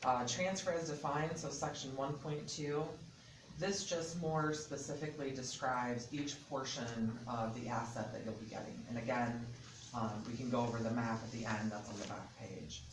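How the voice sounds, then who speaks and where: A man from the state transportation office walking county officials through a transfer agreement section by section, in a loud, methodical voice. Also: medium pitch, fairly even intonation, moderate pace. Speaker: state transportation department representative. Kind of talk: explaining an agreement at a public meeting. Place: county meeting room. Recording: echoey hall, distant mic, background noise.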